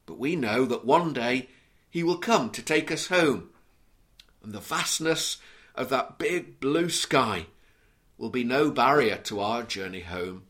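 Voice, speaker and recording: A man from Liverpool with a mild Scouse accent, preaching an earnest, measured sermon and reading scripture aloud. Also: mid-range voice, expressive, a bit bright. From Liverpool, England.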